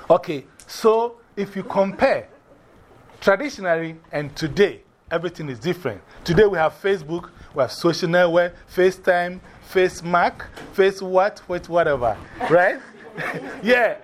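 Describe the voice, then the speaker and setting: A man from Ghana with a Ghanaian accent, teaching a class in a lively way, often repeating and spelling out words. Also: high voice, slight background noise.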